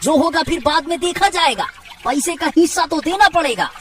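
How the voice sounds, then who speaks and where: A woman voicing an excitable, earnest young village fisherman in a Hindi cartoon, exclaiming and repeating words urgently. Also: high-pitched, normal speed, distant mic, a little hiss or hum. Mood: grumbling. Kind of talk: Hindi cartoon moral story acting.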